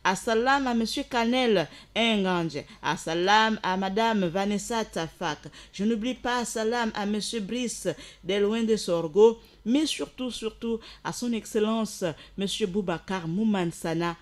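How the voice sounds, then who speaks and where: A woman hosting a radio show, explaining facts from her notes and sending loud greetings to listeners. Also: medium pitch, unhurried, hardly any pauses. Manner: explanatory, rises in energy for shout-outs. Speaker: radio host.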